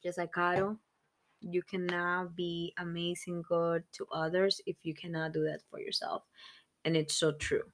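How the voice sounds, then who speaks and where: A woman's voice: lowish voice, flat delivery, moderate pace. A woman in her thirties co-hosting a casual podcast chat, earnest and reflective, talking in long run-on thoughts. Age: 30s. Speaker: podcast co-host.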